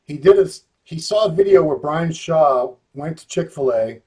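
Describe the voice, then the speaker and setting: Man, interview host, playful, chatty, somewhat high voice. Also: lively intonation, normal speed, warm tone. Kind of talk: casual interview chat.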